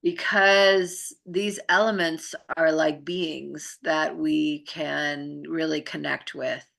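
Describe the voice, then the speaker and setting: Middle-aged female co-host, earnest explainer in a casual chat; low register, forward bright tone. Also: fairly even intonation, takes it slowly, vocal fry. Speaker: co-host and healing arts practitioner.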